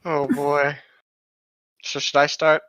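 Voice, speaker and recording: A man's voice: on the high side, completely flat delivery, takes it slowly, fairly bright tone, no echo. A young adult man co-hosting casually, with a sardonic, giggly way of talking. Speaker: podcast co-host. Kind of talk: casual joking chat with bits of reading aloud.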